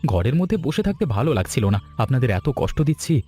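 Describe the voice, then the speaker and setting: A man's voice: mid-range voice, sing-song, quick pace, dark tone, husky. A man playing a polite, secretive city guest in a cartoon story, speaking hesitantly. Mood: reflective, polite.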